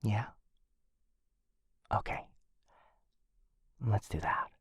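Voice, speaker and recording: A man voicing a shy, affectionate dog-person boyfriend in an ASMR roleplay, speaking softly and hesitantly, often close to a whisper, right up on the microphone. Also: extremely slow, no echo. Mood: sleepy, loving. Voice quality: soft, breathy, often dropping into whisper. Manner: hesitant, tender, intimate. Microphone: close microphone in a dry space.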